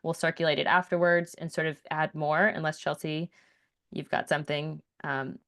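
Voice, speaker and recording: A woman's voice: sits low, level tone, moderate pace, a bit bright. A woman moderating a webinar, informally reading out attendee questions, summing them up and directing where they should go.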